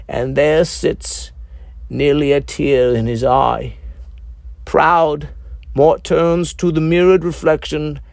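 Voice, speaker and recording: A man narrates a moody, macabre story in a fairly high male voice. He takes his time, delivering each phrase deliberately and theatrically with measured pauses. The sound is dry and close. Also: vocal fry, a little mellow, no room sound. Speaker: author reading own script. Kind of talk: scripted dark comedy narration, audiobook reading.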